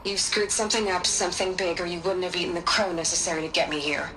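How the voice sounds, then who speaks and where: An actress playing a blunt, sceptical, commanding scientist in a video game, curt and incisive. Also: female voice, on the low side, fast, fairly bright tone, lightly acted, rough recording. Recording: voice amid clear noise.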